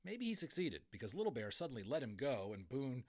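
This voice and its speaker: Animated man narrating a storybook. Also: medium pitch, a little fast. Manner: expressive, theatrical. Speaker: audiobook narrator.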